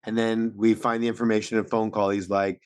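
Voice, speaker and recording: A man's voice: lowish voice, flat delivery, fast talker, clear, resonant tone, no echo. A man co-hosting a podcast, informally explaining and debating a story, with casual swearing. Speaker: podcast co-host. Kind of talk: casual recap and discussion of a story.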